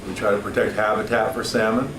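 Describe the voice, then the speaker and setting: Deep-voiced man in an echoey hall. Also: level tone, quick pace. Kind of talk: public forum testimony. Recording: echoey distant hall recording with noise.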